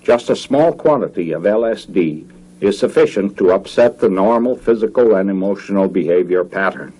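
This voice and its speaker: A low, mellow American male narrator from a 1950s educational film. His delivery is formal and measured, with careful enunciation and a slightly husky grain to the voice. It has the sound of a vintage documentary soundtrack. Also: expressive, low-quality recording.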